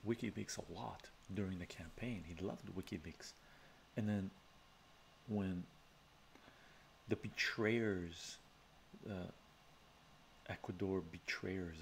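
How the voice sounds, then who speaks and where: A middle-aged man from Canada hosting a relaxed ASMR live stream from his home study, chatting softly, chuckling, often adding "right?". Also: low voice, a little hiss or hum. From Canada, of Armenian descent from Iran. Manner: soft-spoken, chuckling, chatty asides with frequent "right?". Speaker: live-stream host reading chat. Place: home study lined with bookshelves. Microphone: webcam framing at desk.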